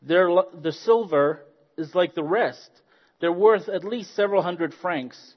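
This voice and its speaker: A man's voice: high-pitched, a little slow, long reverb tail, lo-fi sound, pitched above the performer's own voice. A man reading the lines of a kind, merciful old bishop in a sermon story. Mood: gentle, warm.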